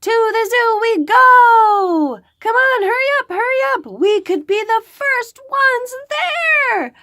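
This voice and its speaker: Woman putting on an excitable, high, singsong storybook parent. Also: takes it slowly, no echo, clean studio sound, heavily acted. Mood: excited, urging. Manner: exclamatory, singsong, encouraging. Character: excited, playful parent coaxing a nervous little child.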